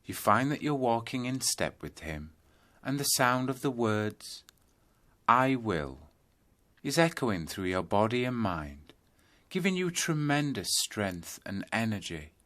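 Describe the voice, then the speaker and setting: A man narrating a scripted guided yoga nidra meditation, telling a journey in measured second-person storytelling. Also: medium pitch, big pitch swings, a bit bright.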